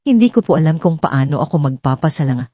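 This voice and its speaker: Woman reading as humble grateful woman, mellow voice. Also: low voice, expressive, quick pace, slightly rough, no echo. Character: grateful, humble young woman, respectful and eager to help.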